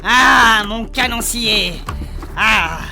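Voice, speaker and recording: A woman's voice: a little high, drawn-out words. A woman playing a brash, bossy, slangy adventurer in a comic fantasy audio drama. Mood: delighted, bossy. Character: brash, bossy female adventurer with a mechanical hand. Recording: music behind the voice.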